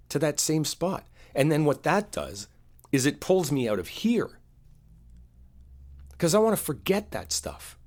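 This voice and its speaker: A man playing an earnest, wounded boyfriend in an intimate roleplay, speaking in a halting, confiding way. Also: medium pitch, darkish tone. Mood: pained, pleading.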